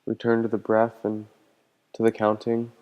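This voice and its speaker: A man leading a guided meditation as a teacher, speaking in a calm, gentle, unhurried way with pauses. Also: lowish voice, lo-fi sound. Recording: long hall-like reverb.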